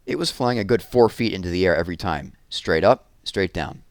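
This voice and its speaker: A man narrating a children's chapter book aloud as an expressive storyteller. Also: low-pitched, a little fast, clean tone, no echo.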